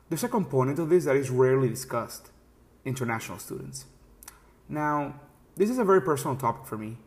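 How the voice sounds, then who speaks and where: A young man hosting a podcast, narrating scripted segments and chatting with his guests with friendly agreement. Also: fairly high pitch. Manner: clear narrating with friendly back-channel agreement. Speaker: podcast host. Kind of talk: scripted narration and casual chat.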